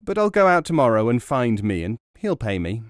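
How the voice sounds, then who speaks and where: A man's voice: medium pitch, sing-song, fairly quick, no room sound, studio quality, lightly acted. A man voicing a foolish, naive young man in a folk tale, earnest and pleased with his own cunning. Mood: naive, puzzled. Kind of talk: storytelling in a narrated folk tale.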